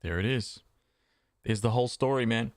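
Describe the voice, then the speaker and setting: A man's voice: medium pitch, moderate pace, no echo, clean studio sound. A man giving casual, slangy reaction commentary as a game streamer.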